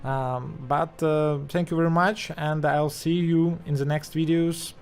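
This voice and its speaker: A man speaking English with a strong Russian accent, commenting haltingly over footage with many fillers and restarts. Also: on the high side, steady tone, smooth. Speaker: channel host.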